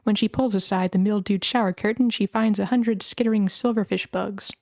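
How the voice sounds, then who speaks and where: A woman's voice: medium pitch, fairly even intonation, a little fast, darkish tone, no room sound, clean studio sound. A woman reading stage directions as narrator at a screenplay table read over an online call. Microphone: video call.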